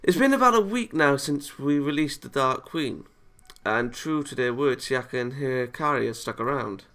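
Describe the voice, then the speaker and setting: A man's voice: mid-range voice, lively intonation, moderate pace, bright, forceful tone. A man playing a visual novel, reading the story aloud and adding casual reactions of his own. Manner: chuckles and comments between read lines. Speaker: video game let's player.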